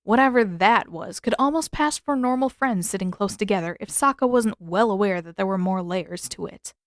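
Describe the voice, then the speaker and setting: A woman's voice: medium pitch, normal speed, dry recording. Woman narrator with a dry, close sound. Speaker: audiobook narrator. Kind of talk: scripted story narration.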